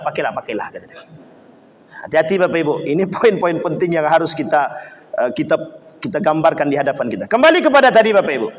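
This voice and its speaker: A man preaching a sermon to a congregation. He acts out quoted voices and keeps putting questions to his listeners. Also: a little high. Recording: long reverb of a hall.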